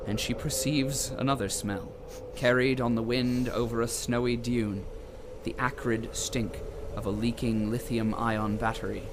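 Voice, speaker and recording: A man narrating an audio drama as a deliberate storyteller who relishes lurid detail. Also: medium pitch, fairly even intonation, noisy background, close to an everyday voice. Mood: ominous relish.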